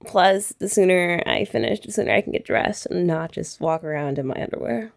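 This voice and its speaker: A woman's voice: on the low side, fairly quick, creaky. A young woman chatting playfully to a partner in an ASMR roleplay, teasing and laughing often. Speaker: ASMR creator. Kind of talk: scripted ASMR roleplay as a girlfriend.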